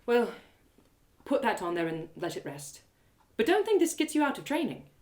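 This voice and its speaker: A woman voicing a fierce, guarded, hot-tempered warrior woman in an audio drama, with curt, gruff delivery. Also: a little high, sing-song, normal speed, a little mellow, pitched above the performer's own voice. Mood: firm, softened. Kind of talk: one-woman audio drama character acting.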